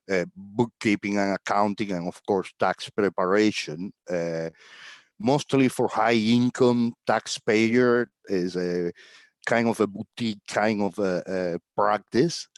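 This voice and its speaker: A middle-aged man from Cuba, a tax agent talking as a guest on a video call in a relaxed, rambling way with fillers and chuckles. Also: fairly low pitch, no echo. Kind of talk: casual video-call interview.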